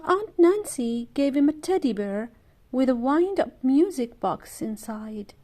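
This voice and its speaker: A woman reading a children's story aloud in an expressive, deliberate way for young listeners. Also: high voice. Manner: animated character voices, deliberate phrasing.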